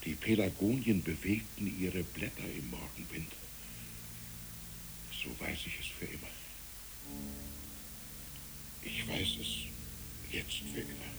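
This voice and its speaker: A man playing a troubled, gentle old village pastor in a German radio play, hesitant and brooding, moving between murmur and declamation. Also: deep voice, unhurried, gravelly, in a whisper, poor audio.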